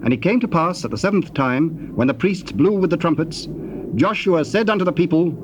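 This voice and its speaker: A man's voice: on the high side, expressive, fairly quick. A man narrating dramatized scripture in a solemn, formal storytelling manner. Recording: dull, noisy old cassette tape.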